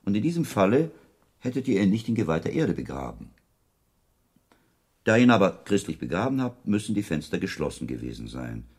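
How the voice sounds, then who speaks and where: A shrewd monk investigator in a radio drama. His voice is very deep and husky, and he sets out his deductions calmly and methodically, with deliberate pauses. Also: exaggerated voice. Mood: measured, reasoning. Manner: deliberate, precise, logical phrasing with weighty pauses. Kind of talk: German radio drama acting, reasoned monologue.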